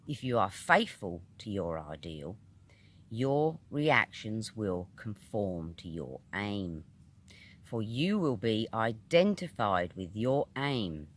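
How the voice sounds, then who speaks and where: British male narrator for an instructive audiobook: slow, measured delivery, mid pitch with lively intonation. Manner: measured, deliberate, instructive, with pauses between short phrases. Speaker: audiobook reader. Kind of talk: scripted narration of a metaphysical article.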